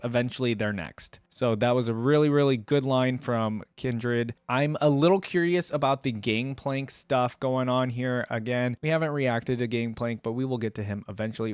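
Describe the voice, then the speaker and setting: A man's voice: medium pitch, no room sound. Male reaction host, mid-range voice, dry recording, casual and unscripted, conversational rambling commentary with asides.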